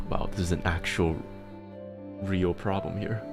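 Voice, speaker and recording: A young man with a fairly low voice talks through advice in a loose, rambling way. He giggles often and restarts his sentences as he goes. Soft music plays faintly behind him. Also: gravelly. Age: young adult. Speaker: host giving advice on submitted struggles. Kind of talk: casual livestream advice chat.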